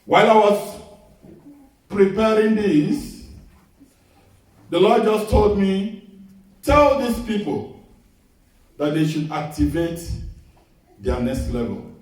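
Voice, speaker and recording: A middle-aged man preaching a sermon in a small church, earnest and measured, repeating his key phrases as he tells a personal testimony. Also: high voice, animated, darkish tone. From Nigeria. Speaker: guest preacher. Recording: echoey hall, voice picked up from a distance.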